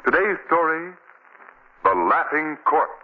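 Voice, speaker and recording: A male announcer reads dramatic scripted narration in the 1940s radio manner. His pitch moves boldly, and the old recording is dull with faint noise. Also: sing-song, slow.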